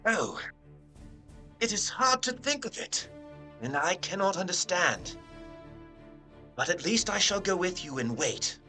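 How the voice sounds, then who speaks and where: Proud young lord played by a man, bright, forceful voice. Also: sits high, sing-song, unhurried, pauses often, soft background music, full character voice. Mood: pained. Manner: formal, earnest, emphatic. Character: proud, anguished young English lord in mourning. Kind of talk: dramatic period audio drama acting.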